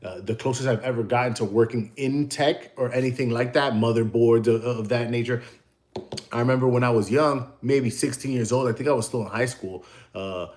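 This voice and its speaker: A man's voice: mid-range voice, steady tone, fairly quick, darkish tone, slight background noise. An American man hosting a casual livestream, telling long, digressive stories and answering the chat. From United States. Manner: rambling, digressive storyteller. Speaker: livestream host; tattoo artist.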